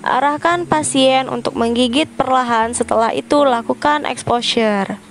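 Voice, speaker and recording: A woman's voice: high-pitched, normal speed. A young woman reading scripted instructional narration, one step after another. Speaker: student demonstrating dental radiography procedure. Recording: music clearly behind the voice. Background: background music.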